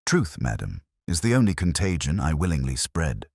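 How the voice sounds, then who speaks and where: A man's voice: very deep voice, big pitch swings, moderate pace, darkish tone, no room sound, clean studio sound, heavily acted. A man voicing a keen, commanding detective with precise, theatrical, coolly triumphant delivery in an audio story. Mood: grave.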